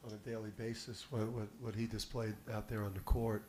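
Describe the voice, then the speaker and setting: A man's voice: on the low side, flat delivery, a little fast, darkish tone. Man, middle-aged, head coach, emphatic, restarts words, table mic, press room, faint background hum. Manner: confident, emphatic, repeats words when starting. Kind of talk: postgame press conference answers. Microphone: table mic on a stand.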